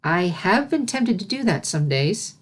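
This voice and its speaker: A woman as a regal, gentle pony princess. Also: on the low side, big pitch swings, slightly rough, full character voice. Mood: patient, explanatory. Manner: measured, formal.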